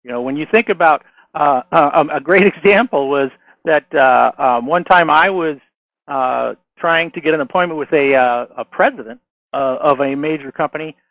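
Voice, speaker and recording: A man's voice: on the high side, normal speed, fairly bright tone, no echo, low-quality recording. A man who is losing his voice gives a casual marketing lesson, talking loosely with restarts and checking in with "right?" and "okay?". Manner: conversational, many restarts and fillers, frequent "right?" and "okay?". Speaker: marketing consultant. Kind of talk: casual instructional talk to camera.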